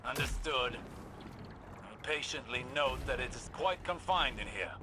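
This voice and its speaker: An actor playing an impatient, learned older warrior-scholar in a video game, formal and urgent. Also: male voice, mid-range voice, lively intonation, measured pace, very noisy, close to an everyday voice. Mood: dry urgency. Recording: loud game music behind the voice.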